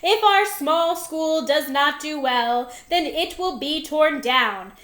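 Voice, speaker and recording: Woman playing a fretful, high-voiced male school principal. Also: lively intonation, slow pace, fairly bright tone, roomy sound, heavily acted. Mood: tearful, grave. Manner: dramatic, emphatic announcing. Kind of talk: children's storybook read-aloud.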